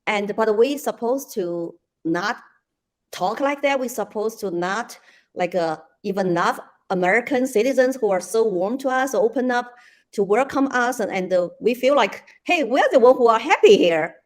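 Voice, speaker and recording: A woman's voice: fairly high pitch, normal speed. A woman from China with a Chinese accent and non-native English grammar, hosting a conversational interview. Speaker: interview host.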